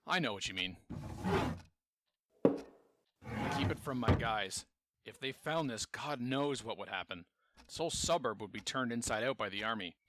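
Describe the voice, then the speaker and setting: An actor playing a guarded, businesslike police captain in a fan audio drama, speaking in a matter-of-fact official way. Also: male voice, mid-range voice, animated, brisk, background noise, lightly acted.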